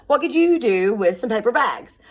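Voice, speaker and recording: A woman's voice: on the high side, lively intonation, normal speed. A woman speaking as a schoolteacher, reading aloud and explaining things in simple, instructive words addressed directly to young children.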